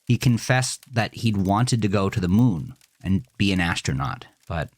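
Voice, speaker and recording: A man reading a scripted first-person horror story in a measured, deliberate, dramatic way. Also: low-pitched, expressive, dry recording. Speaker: narrator of a horror fiction podcast.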